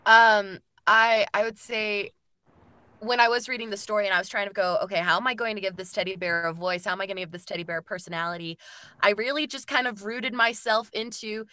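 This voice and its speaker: A woman's voice: medium pitch, fairly quick, bright, forceful tone, smooth, no echo. A woman in casual chat as a podcast guest, talking in an earnest, emphatic way.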